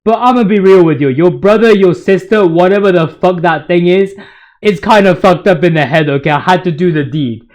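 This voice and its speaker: High-pitched man, hyperbolic gaming commentary. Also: brisk. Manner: hyperbolic, emphatic, fanboyish. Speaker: gaming video host. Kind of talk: casual, slangy fan commentary on game bosses.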